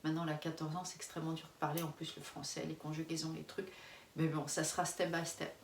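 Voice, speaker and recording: A woman from France, in her forties, talks to her camera in a loose, candid way, circling back and restarting her sentences and often breaking into laughter. Her voice sits a little low. It is recorded in a reverberant room from some distance away, with a faint ambient hiss behind it. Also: level tone, fast talker, creaky. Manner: frank, rambling, many restarts, laughs often. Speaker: expat family vlogger. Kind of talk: casual face-to-camera chat.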